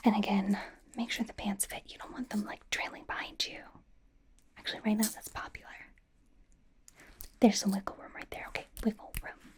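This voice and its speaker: A woman performing a whispered ASMR roleplay as a bubbly, doting young pop idol stylist, breathy, playful and reassuring, with chatty asides. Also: mid-range voice, flat delivery, fairly quick, pauses often.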